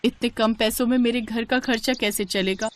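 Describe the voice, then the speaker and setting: A woman's voice: on the high side, fairly even intonation, moderate pace, close to an everyday voice. A woman voicing a poor, dutiful young food-cart seller in a moral story, earnest and respectful. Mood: worried pleading.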